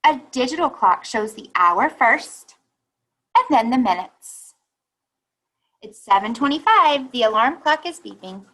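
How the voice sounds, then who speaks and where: A woman reading a children's picture book aloud like a teacher, pausing to think aloud and explain. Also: a little high, expressive, a bit bright, poor audio.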